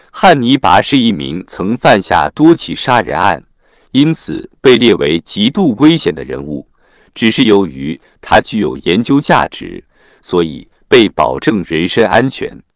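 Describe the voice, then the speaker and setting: A man narrates a scripted plot summary in a low voice. His pitch moves up and down in a lively way while he keeps to formal, written phrasing. The sound is dry and close. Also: dry recording. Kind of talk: scripted narration, film recap.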